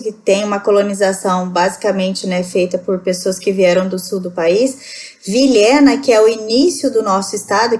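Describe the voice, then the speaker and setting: A woman's voice: medium pitch, a little mellow. A woman from Rondônia, Brazil, talking as a podcast guest, explaining at length with frequent tag questions. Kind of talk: casual conversation.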